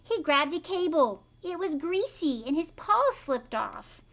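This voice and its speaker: Woman, higher-pitched voice, storybook read-aloud, teacherly, animated, chatty asides to kids. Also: measured pace, vocal fry. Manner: animated, addresses young listeners directly.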